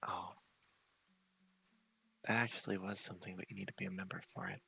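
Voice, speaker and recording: A man giving soft, half-whispered ASMR commentary on a game playthrough, murmuring to himself and reading text aloud in an unhurried way. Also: sits low, little pitch movement, gravelly, husky, highs cut off. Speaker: game streamer, video host.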